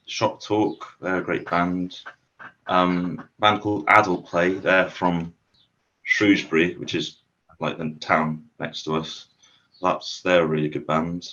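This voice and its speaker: A young man chats easily about his band, laughing now and then. His voice is very deep, and the recording sounds dull and muffled. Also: level tone, measured pace, sharp tone. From Shropshire, England. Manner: easygoing, laughs often, lists things. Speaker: band member, guest. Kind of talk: casual interview chat.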